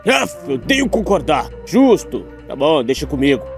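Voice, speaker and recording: Brazilian male actor as cocky young anime debater, high, swooping delivery, theatrical and dismissive, music underneath. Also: very animated, moderate pace, creaky. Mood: assertive, competitive. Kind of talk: scripted animated debate, announcer flourishes.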